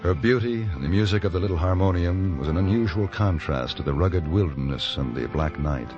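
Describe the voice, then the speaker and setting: A low, commanding American frontier gunslinger, courteous yet firm. He talks with measured authority in radio western style. The recording is muffled, with prominent music behind. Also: animated. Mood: reflective narration. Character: steady, courteous but firm male Old West gunfighter-for-hire. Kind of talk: old-time radio western acting and narration.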